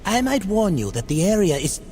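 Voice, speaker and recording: An actor playing a scheming, cautious demonic advisor in a game, speaking in a formal, ingratiating, theatrical manner. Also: male voice, high-pitched, very animated, brisk, a little mellow, slight background noise. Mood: cautious, deferential. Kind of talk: video game cutscene acting.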